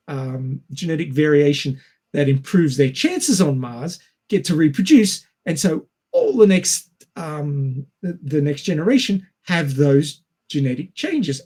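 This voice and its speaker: A man talks in a casual podcast discussion. His voice sits a little on the high side for a man. He lays out his points step by step, in a didactic way. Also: a little mellow. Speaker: podcast panellist.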